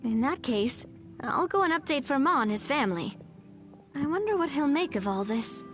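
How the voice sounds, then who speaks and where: A woman's voice: high voice, very animated, moderate pace, soft background music. An actress playing a chipper, easygoing young woman in a video game. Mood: breezy. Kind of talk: scripted video game voice acting.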